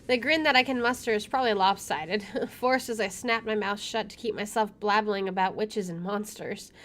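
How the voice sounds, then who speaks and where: A woman's voice: mid-range voice, normal speed, fairly bright tone. A woman streaming a visual novel, reading its text aloud and dropping in casual, wry asides. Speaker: video game streamer.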